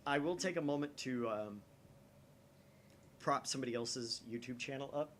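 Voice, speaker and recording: A man's voice: medium pitch, moderate pace, fairly bright tone. Nerdy guy rambling casually on a gaming channel, mid voice, swears a lot, bit of noise behind. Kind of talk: casual chat while voicing a visual novel. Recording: faint background noise.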